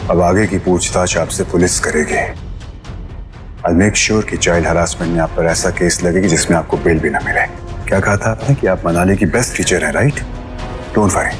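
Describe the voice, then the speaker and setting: An actor plays a stern but caring father with a very low voice. He speaks firmly and deliberately, switching between Hindi and English. Dramatic audio-series delivery sits over clear background music. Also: lightly acted. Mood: stern, threatening. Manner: firm, measured, mixes English into Hindi. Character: wealthy, protective young father, stern and caring.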